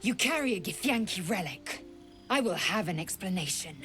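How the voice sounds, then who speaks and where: A woman's voice: fairly low pitch, expressive, normal speed, over music, close to an everyday voice. Haughty alien warrior woman, swooping delivery by an actress. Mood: menacing. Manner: haughty, clipped, formal. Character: proud, fierce alien female warrior, contemptuous and blunt. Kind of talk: dramatic video game dialogue.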